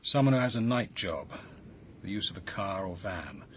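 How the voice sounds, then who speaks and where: Thoughtful wry detective in a radio play, measured, bit of noise behind him. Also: male voice, low voice, animated. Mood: reasoning, wry. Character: thoughtful, cultured, analytical male police investigator. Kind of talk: radio drama acting.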